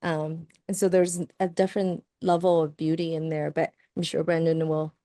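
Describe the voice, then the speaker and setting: A woman panelist in a conversation, speaking in a thoughtful, measured way. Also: sits low, flat delivery, warm tone, dry recording, clean studio sound.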